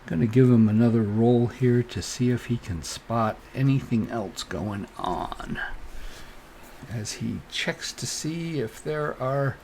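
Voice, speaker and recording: Slow-talking man with a creaky voice. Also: mid-range voice, darkish tone, a little hiss or hum. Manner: halting, improvised, thinking aloud. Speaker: solo game master, narrator. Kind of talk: narrating a solo tabletop role-playing game.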